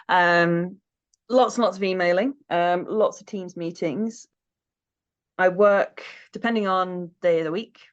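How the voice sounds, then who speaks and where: Chatty southern English woman, lo-fi sound. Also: lowish voice. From south coast of England. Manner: chatty and self-deprecating, with frequent "um"s. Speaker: podcast guest. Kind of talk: casual interview chat. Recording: dull, lo-fi remote audio.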